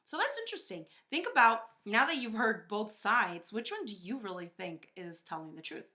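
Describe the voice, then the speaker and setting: A woman's voice: medium pitch, big pitch swings, normal speed, sharp tone. A woman teacher talking to young pupils in a conversational way, often checking in with a short questioning tag. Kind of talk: children's lesson talk.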